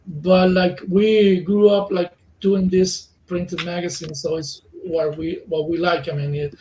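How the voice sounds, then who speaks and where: A man from Cuba, a native Spanish speaker, answering interview questions in English with many pauses and fillers as he searches for words. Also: sits high, level tone, dark tone, reverberant, a little hiss or hum, rough recording. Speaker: magazine editor, interview guest. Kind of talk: casual interview.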